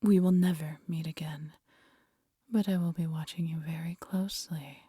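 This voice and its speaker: A woman playing a proud, vengeful demon queen in an audio roleplay, theatrical and menacing, often dropping to a breathy hush. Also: low-pitched, fairly even intonation, moderate pace, no room sound, studio quality. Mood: hushed gloating menace. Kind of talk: scripted audio roleplay addressed to listener.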